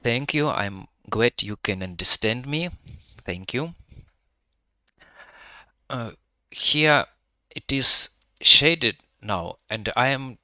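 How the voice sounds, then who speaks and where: A man with a Russian-Ukrainian accent guiding a live virtual tour, speaking halting English with many pauses to find his words. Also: medium pitch, expressive, pushed and bright, no room sound. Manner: hesitant, pausing to find words; halting non-native English with frequent fillers.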